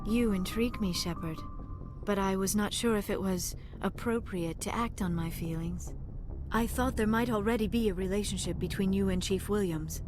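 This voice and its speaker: A woman voicing a gentle, earnest young female alien scientist in a video game, speaking formally and carefully. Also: mid-range voice, normal speed, lightly acted. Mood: shy, confessing. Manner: formal, careful phrasing without contractions. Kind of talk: video game dialogue acting. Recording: music behind the voice.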